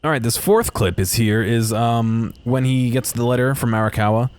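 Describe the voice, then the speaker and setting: A man's voice: mid-range voice, moderate pace, darkish tone, clear, resonant tone, faint music behind. A man giving casual, unscripted video game commentary, hesitantly thinking aloud as he goes.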